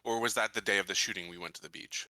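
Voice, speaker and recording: Guy at a tabletop game, really bright forceful voice, bit hesitant, dry sound. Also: fairly low pitch, steady tone, racing, no room sound. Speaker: player in a tabletop roleplaying game. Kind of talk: casual game discussion.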